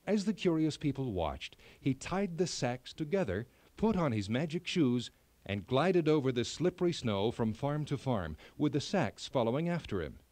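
Man, fairy tale narrator, expressive, lively pitch, dry recording. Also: normal speed, no room sound. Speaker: storyteller, narrator.

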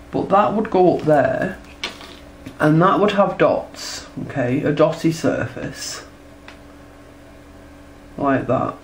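A woman's voice: deep voice, slight background noise. Stuffy-nosed woman chatting through a tutorial. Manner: chatty, warm, uses tag questions. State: congested from a cold. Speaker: textile artist demonstrating her process. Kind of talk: casual tutorial narration while drawing. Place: indoors at a table.

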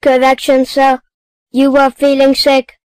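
A woman's voice: sits high, monotone, a little slow, dry recording. A woman voicing a small, literal-minded household robot with a formal, clipped, correcting manner in a children's cartoon. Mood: officious, flat.

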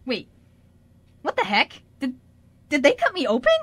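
Radio drama: flustered, sincere young guy woman performer, halting and stammering, lightish high register. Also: huge pitch swings, a bit bright, no echo, exaggerated voice. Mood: alarmed. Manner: stammering, hesitant. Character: earnest flustered young man, revived ghost. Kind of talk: amateur radio drama acting.

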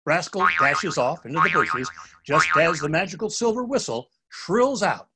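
A man narrating a children's storybook in a playful, expressive, scripted style. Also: fairly high pitch, moderate pace, crowd noise.

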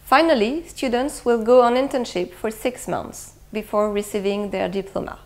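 A woman's voice: fairly high pitch, fairly even intonation, normal speed. A woman, giving a promotional talk to camera as a school's head of international affairs. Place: indoor room with bookshelves. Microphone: lapel mic.

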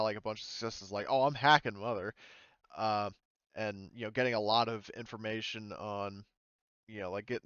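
A man's voice: on the low side, fairly bright tone, clear, resonant tone, dry recording, muffled recording. A man speaking informally as game master, chatting through a tabletop session in a loose, hesitant way. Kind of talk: casual tabletop game chat.

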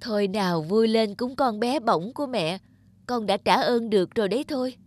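A woman voicing a gentle, wise mother dog who comforts her child in a cartoon. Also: fairly high pitch, normal speed, no room sound, lightly acted. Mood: tender, soothing. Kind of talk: children's cartoon storytelling dub.

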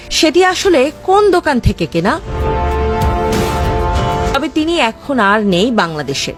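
Casting: female Bangladeshi narrator, high voice with animated swings, sardonic scripted satire over music.

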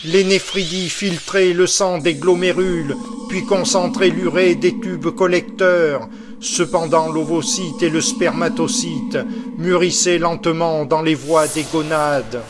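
A man reciting an epic poem in a solemn, measured declamatory style. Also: on the high side, fairly even intonation. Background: music.

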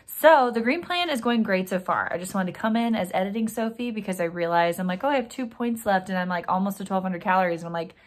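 A woman's voice: medium pitch, expressive, fast talker, hardly any pauses. A young woman chatting casually to viewers in an upbeat vlog, talking while she eats in her home kitchen. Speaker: vlogger.

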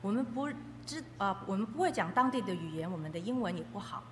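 Fast-talking woman interpreter in a hall. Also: medium pitch, lively intonation, a bit bright. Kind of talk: consecutive interpreting of a testimony, phrase by phrase. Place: echoey hall. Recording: echoey hall with faint noise.

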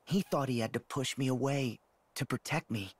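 A man playing a kind, eager teenage boy hero in an animated fantasy game. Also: medium pitch, normal speed, husky, lightly acted. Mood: gentle, reflective. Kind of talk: animated game cutscene acting. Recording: music behind the voice.

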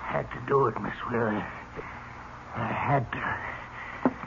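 Halting, nervous radio-drama handyman, slow-spoken. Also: mid-range voice, vocal fry. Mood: distraught, straining. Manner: hesitant, halting, deferential. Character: nervous, apologetic handyman cab driver turned reluctant killer. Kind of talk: 1970s radio drama acting. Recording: noisy, dull vintage radio broadcast recording.

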